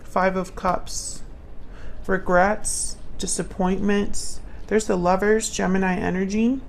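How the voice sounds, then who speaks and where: A woman's voice: medium pitch, flat delivery, slow, vocal fry, slight background noise, low-quality recording. A woman doing a casual, intuitive tarot reading, thinking aloud as she talks directly to the listener. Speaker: tarot reader, channel host.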